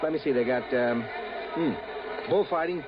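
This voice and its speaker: An actor playing a smitten, boyish assassin from out of town in a 1950s radio drama, hesitant, stammering and earnest. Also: male voice, on the high side, moderate pace, natural voice. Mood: chatty, casual. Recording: muffled, noisy old radio recording.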